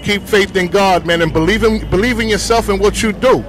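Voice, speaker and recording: A man with a Bronx New York accent, a DJ talking casually in slang on a city street, full of shout-outs and "you know what I'm saying". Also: high voice, a little fast, soft background music. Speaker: DJ interviewed on the street.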